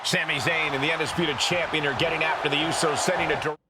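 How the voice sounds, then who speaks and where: High-pitched earnest male wrestling announcer, noisy. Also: steady tone, fast talker, a loud crowd. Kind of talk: sports commentary in a video game.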